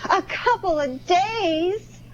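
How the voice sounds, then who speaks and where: A woman's voice: very high voice, slow pace. A woman playing an eager, questioning accomplice of a thief in a 1940s-style radio crime drama. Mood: doubtful, questioning. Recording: dull, faintly noisy radio recording.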